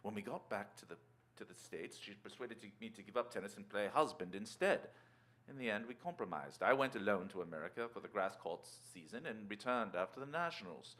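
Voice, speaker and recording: A suave, scheming retired tennis player in a radio play. His voice sits fairly low but swings widely in pitch. He is urbane and glib, leading the talk with polished affability. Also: expressive, fairly quick, a bit bright. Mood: smooth, confiding. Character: suave, calculating retired tennis star, a married man. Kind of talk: stage radio-drama acting.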